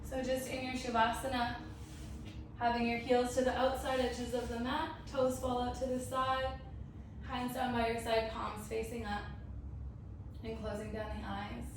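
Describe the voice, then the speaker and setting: A woman yoga instructor leading an online class in a gentle, encouraging, instructional way. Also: a little high, little pitch movement, unhurried, noisy background. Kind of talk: guided vinyasa yoga class. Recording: very echoey, distant, music playing. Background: music.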